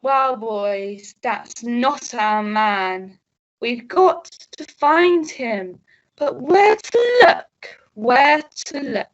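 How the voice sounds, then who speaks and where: A woman playing a commanding, bossy male police sergeant with deliberate delivery in a radio melodrama. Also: on the high side, lively intonation, muffled recording. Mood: pondering, dutiful. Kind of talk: melodramatic radio play acting.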